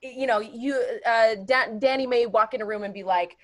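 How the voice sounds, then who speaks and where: Brief: woman panelist, slightly high voice, emphatic and explanatory. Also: brisk. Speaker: actress on a panel. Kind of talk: casual panel discussion.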